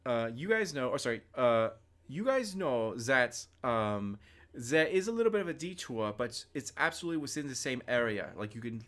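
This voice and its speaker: A man's voice: mid-range voice, sing-song, normal speed, fairly bright tone. A man hosting a live-streamed tabletop game as game master, chatty and full of fillers, thanking donors.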